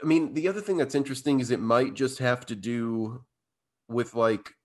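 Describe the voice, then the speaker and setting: Male podcast co-host, mid-pitched, a bit hoarse and stuffy, loose rambling chat. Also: normal speed. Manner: rambling, full of fillers and restarts. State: slightly hoarse and blocked up. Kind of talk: casual chat.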